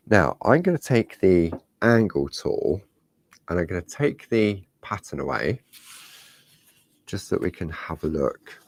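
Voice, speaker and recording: A man with a slightly croaky voice, demonstrating a parchment craft step by step and chatting to himself as he works. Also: deep voice. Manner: chatty, talks to himself while working. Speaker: craft tutorial host.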